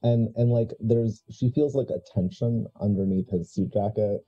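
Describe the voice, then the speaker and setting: A man casually describes what his character does in a tabletop game. His voice is low and very mellow. The recording is a bit muffled, with light music underneath. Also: fairly even intonation, normal speed.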